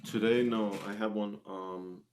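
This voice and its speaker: A man's voice: lowish voice, steady tone, a little slow, a little hiss or hum. A man who works as a musician, chatting casually as an interview guest. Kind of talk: casual chat.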